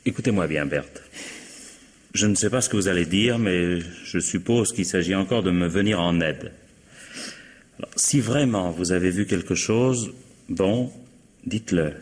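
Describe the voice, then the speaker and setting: Radio mystery role: a low-voiced, husky, earnest gentleman, formal, heard in long reverb. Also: measured pace, long pauses. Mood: grave. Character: grave, courteous gentleman appealing for the truth. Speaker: radio actor. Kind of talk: 1950s French radio mystery acting.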